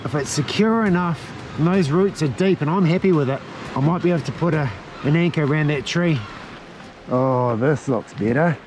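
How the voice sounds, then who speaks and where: Kiwi guy in his 40s talking to his helmet cam while climbing, bit high voiced, windy outdoor noise. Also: moderate pace. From New Zealand. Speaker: outdoor adventure vlogger. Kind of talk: self-filmed commentary while climbing. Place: outdoors on a windy coastal cliff. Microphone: head-mounted action camera. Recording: wind noise on an action camera.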